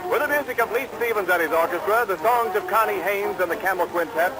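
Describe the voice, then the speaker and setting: A man's voice: high-pitched, fast, music in the background, lightly acted, poor audio. A man playing an eager, pompous, self-pleased announcer in 1940s radio comedy, polished and theatrical. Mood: grand announcing. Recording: old radio recording, faint rain noise.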